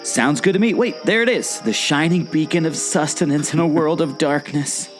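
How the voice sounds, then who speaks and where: A man's voice: on the high side, moderate pace, soft background music, natural voice. A man playing a hungry, distracted, good-natured sidekick in a scripted audio drama, speaking in a playful way. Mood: cheerful. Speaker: podcast co-host.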